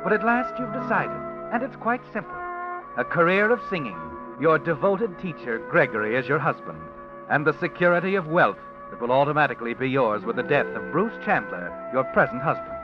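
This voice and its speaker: A man playing a sly, mysterious narrator of an old radio thriller, his slightly high voice rising and falling as he insinuates. The sound is dull and old, with music playing behind him. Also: lively intonation, brisk, close to an everyday voice. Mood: knowing, ominous. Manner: insinuating second-person narration. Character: mysterious male narrator speaking to the listener. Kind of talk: 1940s radio drama narration.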